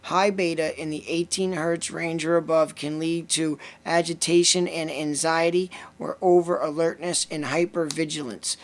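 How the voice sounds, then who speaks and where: A man's voice: a little high, normal speed, few pauses. A man with a Lithuanian accent, co-hosting a casual podcast chat and reading passages from a book aloud. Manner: reads passages aloud in a steady list-like way. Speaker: podcast co-host.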